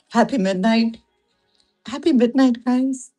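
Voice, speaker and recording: A woman's voice: a little high, slow, warm tone, soft background music. A woman from Lithuania with a Lithuanian accent, hosting a casual late-night livestream from home, greeting viewers and laughing often as she talks. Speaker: livestream seller host. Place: home room, sitting on carpet.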